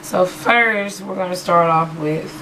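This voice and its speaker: Hoarse low-voiced woman, chatty webcam vlog. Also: a little slow, noisy background. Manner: chatty, rambling with many fillers. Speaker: beauty vlogger. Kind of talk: casual haul video chat. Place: small room at home, patterned backdrop. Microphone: webcam in front of her.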